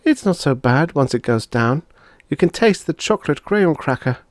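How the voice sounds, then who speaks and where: A man's voice: on the high side, animated, moderate pace, no echo, clean studio sound, voice pushed up, natural voice. A man voicing an eager, whiny little girl, a kid sister in an audiobook story. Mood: excited, curious. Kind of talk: audiobook character voice.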